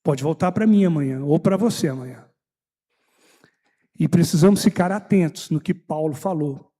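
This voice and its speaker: A man with a Brazilian Portuguese accent, an evangelist, speaking hoarsely and hesitantly to the church. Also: a little high, moderate pace, mellow. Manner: hesitant, humble. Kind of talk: church testimony, sermon-like. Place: church hall.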